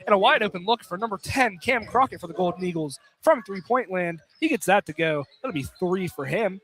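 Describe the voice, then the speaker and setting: A man's voice: high voice, expressive, brisk, a bit bright. A man doing live play-by-play basketball commentary on radio. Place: college basketball field house. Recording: clearly noisy live broadcast.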